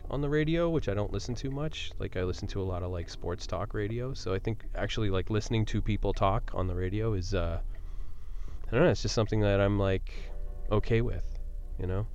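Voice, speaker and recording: A man's voice: lowish voice, fairly quick, a little mellow, lo-fi sound. A man co-hosting a podcast in casual, slangy, enthusiastic chat, recorded inside a van on a cheap USB microphone. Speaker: podcast co-host.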